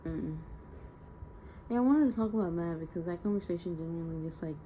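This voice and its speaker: Muffled, lower-pitched young woman, downcast and candid. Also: fairly quick. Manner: rambling, slangy, candid. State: emotionally hurt, downcast. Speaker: audio diarist. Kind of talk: casual confessional audio diary. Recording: muffled lo-fi home recording, faint noise.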